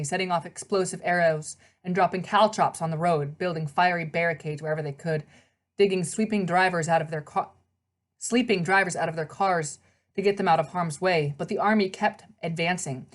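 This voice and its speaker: A woman's voice: fairly low pitch, fairly even intonation, a little fast. A woman reading a children's novel aloud as narrator, in scripted storybook narration.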